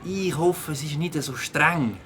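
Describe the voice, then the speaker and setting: A woman's voice: low voice, a little slow, fairly bright tone, soft background music. A woman playing a friendly, laid-back new schoolboy in a Swiss German children's audio play. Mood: easygoing.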